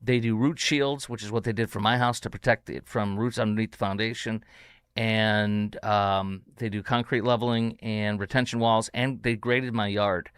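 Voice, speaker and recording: Male talk show host, casual rambling chat, excitable, smooth resonant voice, dry close sound. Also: lowish voice, normal speed, dry recording. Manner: rambling, excitable, frequent fillers and restarts. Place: home studio.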